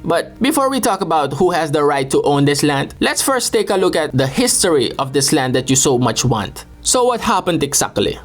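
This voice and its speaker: A man's voice: on the high side, sing-song, moderate pace, few pauses, soft background music. A young man, delivering a sarcastic, indignant comic rant as a mock letter to a rival. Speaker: comedic video creator. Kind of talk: mock love letter monologue.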